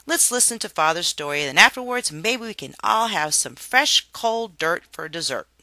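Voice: mid-range voice, animated, moderate pace, sharp tone, no room sound. A storybook reader voicing a cheery mother worm with an enthusiastic manner. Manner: enthusiastic, chirpy.

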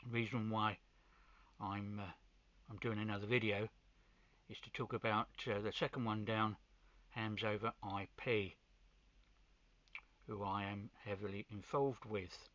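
Slow, halting man, bright voice. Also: fairly low pitch, level tone, no room sound, highs cut off. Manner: halting, pausing between short phrases. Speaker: amateur radio hobbyist presenter. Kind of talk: informal hands-on video demonstration.

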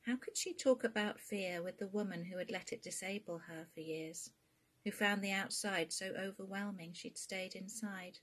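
A woman's voice: medium pitch, moderate pace, a bit bright. A woman, an author, introducing and narrating an extract from her own book.

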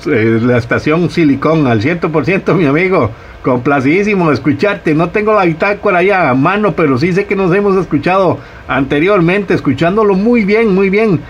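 A man's voice: high voice, lively intonation, moderate pace, few pauses, a little hiss or hum. A man from Costa Rica with a Costa Rican accent, chatting in a friendly way over ham radio and using radio jargon. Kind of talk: casual radio chat.

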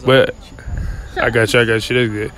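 A man's voice: mid-range voice, little pitch movement, moderate pace, crowd noise. A young man hosting a casual street interview outdoors at night, talking in loose slang. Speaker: host.

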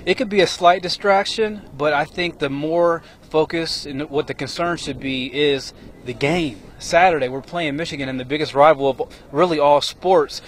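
Man giving measured analysis at a stadium. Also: on the high side. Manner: measured, reflective. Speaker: former player, sports analyst. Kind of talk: live TV analysis. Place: outdoor football stadium at night. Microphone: handheld mic. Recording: faint stadium noise outdoors.

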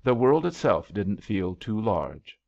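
A low-voiced man reads a romantic story aloud like an audiobook narrator. His delivery is unhurried and very animated, with a gravelly creak, on a slightly dull recording. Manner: measured, dramatic storytelling. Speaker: audiobook reader.

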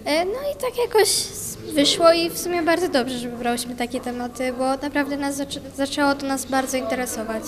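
High-voiced teen girl over music. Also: brisk, few pauses. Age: teens. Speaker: student interviewee. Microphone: handheld mic held by interviewer. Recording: music playing behind.

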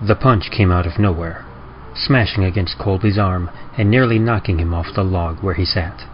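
A man giving a dramatic scripted reading of horror, measured and deliberate, with pauses for effect. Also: low voice. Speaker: narrator of horror stories. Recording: muffled audio with clear background noise.

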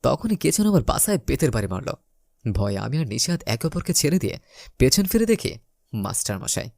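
A man's voice: medium pitch, very animated, brisk, gravelly, a little mellow, no echo, clean studio sound. A man narrating a scripted first-person romantic story, voicing the dialogue as he goes.

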